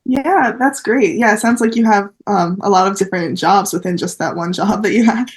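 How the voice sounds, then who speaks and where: A woman's voice: mid-range voice, brisk, slightly rough. Upbeat young woman interviewer. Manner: upbeat, chatty, with fillers. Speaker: interviewer, volunteer.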